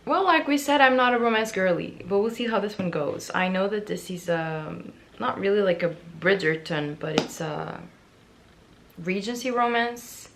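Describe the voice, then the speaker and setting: A young woman vlogging casually about books, her voice raspy and hoarse from yelling, chatty and self-mocking, with little stumbles and repeated words. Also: medium pitch, normal speed, slight background noise. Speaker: book vlogger. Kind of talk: casual vlog chat.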